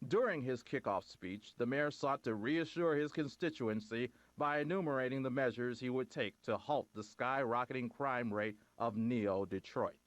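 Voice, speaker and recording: A man's voice: medium pitch, moderate pace, hardly any pauses, no room sound, lightly acted. A man playing a formal TV news anchor. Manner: crisp formal news delivery.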